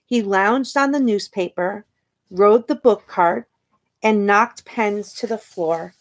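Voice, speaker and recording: A woman reading a children's picture book aloud expressively, pausing to ask her young listeners questions and point things out. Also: mid-range voice. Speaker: storytime reader and teacher.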